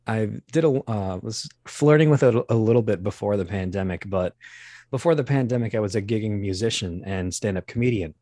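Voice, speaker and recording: A man with a lowish voice recorded dry and close, talking casually as a guest. He wanders through his anecdotes with wry, self-deprecating humor. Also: brisk, dark tone, no room sound. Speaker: interview guest, voice actor and performer. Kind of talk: casual chat, telling anecdotes.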